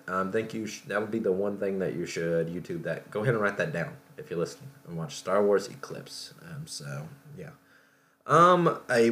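Halting man with a very low voice. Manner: halting, rambling, thinking aloud. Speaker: podcast host. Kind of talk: casual solo show talk about video game news.

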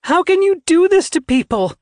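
A man's voice: extremely high pitch, big pitch swings, normal speed, no echo, studio quality, voice pushed up. A man voicing a distraught young female apprentice, pleading and defiant in anguished outbursts, in a narrated story. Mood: desperate protest.

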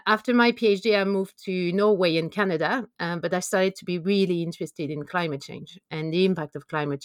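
A woman from France speaks with a clear French accent, her voice sitting a little low. She explains her work thoughtfully, pausing on ums and uhs. The recording is dry, with little room sound. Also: no echo. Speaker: conservation biologist guest. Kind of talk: podcast interview.

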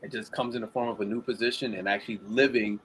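A young man as a guest, chatting casually and acting out the lines he quotes in lively voices. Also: on the low side, quick pace, slight background noise, rough recording. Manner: animated storyteller, acts out quotes. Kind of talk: casual interview talk. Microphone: video call.

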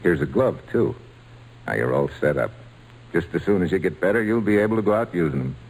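A gruff, kindly baseball slugger in an old radio play. The voice is low and husky, with lively swings of pitch. He talks plainly and folksily. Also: brisk, exaggerated voice, low-quality recording. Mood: kindly, encouraging. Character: big-hearted baseball slugger, gruff but kind to children. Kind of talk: old-time radio drama acting.

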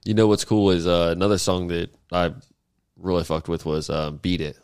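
A man's voice: deep voice, fairly even intonation, normal speed, darkish tone, clear, resonant tone, dry recording, studio quality. A young man hosting a podcast, asking questions in a loose, rambling, casual way. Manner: rambling, casual, lots of fillers and swearing. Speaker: podcast host.

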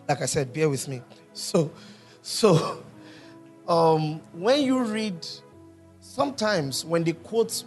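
A male pastor with a slightly raised pitch teaches through a sermon, stopping often to ask his congregation questions. Soft music sits faintly behind his voice. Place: church hall with congregation.

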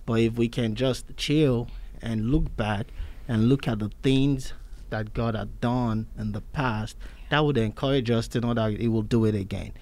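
A man co-hosting a faith podcast, relaxed, telling Bible stories with casual fillers. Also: mid-range voice, unhurried. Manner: relaxed storyteller, Bible examples. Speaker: podcast co-host.

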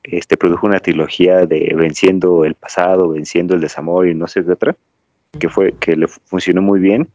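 A man's voice: low-pitched, fairly even intonation, brisk, mellow, dry recording. A Mexican man hosting a podcast, chatting casually and digressing as he tells stories. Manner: rambling, storytelling, digressive. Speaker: podcast host. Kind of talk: casual chat. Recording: dry, slightly dull lo-fi audio.

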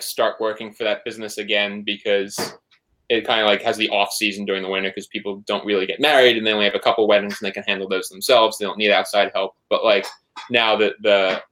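A man's voice: fairly low pitch, pushed and bright, poor audio. A man being interviewed on a podcast, talking casually and rambling with lots of "like" and some swearing. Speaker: podcast guest, band member and photographer.

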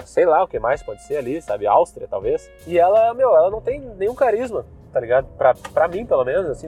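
A young man with a southern Brazilian Gaúcho accent who rambles loosely as a podcast host, full of slang and fillers. His voice sits a bit high. He talks from inside his car while faint music plays somewhere behind him. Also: darkish tone. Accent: Gaúcho Brazilian Portuguese. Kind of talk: casual rambling monologue.

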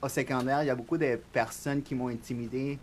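A young man with a Spanish accent, a guest on a podcast interview, talking in a soft, reflective, shy way. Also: mid-range voice, steady tone, quick pace, slight background noise. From Spanish-speaking country. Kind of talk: casual interview chat.

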